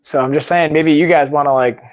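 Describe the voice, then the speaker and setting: A man co-hosting a live podcast, chatting casually in a relaxed way and reacting quickly to stories. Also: a little high. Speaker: podcast co-host. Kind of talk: casual live-stream chat. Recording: dull lo-fi audio.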